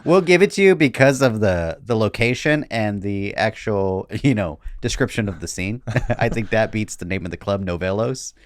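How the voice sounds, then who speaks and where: Man, deep low pitch, casual interviewer, podcast co-host, very dry acoustics. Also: lively intonation, moderate pace, few pauses, no echo.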